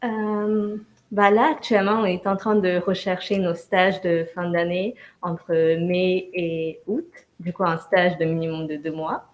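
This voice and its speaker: Young woman, student, fairly low voice, steady and informative answers. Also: unhurried. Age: young adult. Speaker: first-year business student. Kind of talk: live interview answers.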